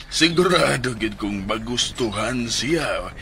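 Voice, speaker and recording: A man's voice: mid-range voice, lively intonation, slow, slight background noise, full character voice. A man acting in a radio drama as a gossipy, leering henchman who chuckles and mutters to himself, eager to please his boss. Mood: scheming. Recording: loud music behind the voice.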